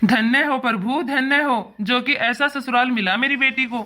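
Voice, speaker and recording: A woman's voice: high-pitched, normal speed, heavy background noise, close to an everyday voice. A woman voicing an indignant, protective father who lectures emphatically in a cartoon family drama. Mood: sarcastic praise. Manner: emphatic, lecturing, repetitive scolding.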